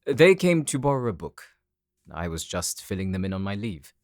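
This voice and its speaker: A man acts an anxious, exasperated male researcher in an audio drama. His medium-pitched voice moves up and down a lot in a dry recording. He is polite yet pleading. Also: big pitch swings, normal speed, no echo. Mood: uneasy.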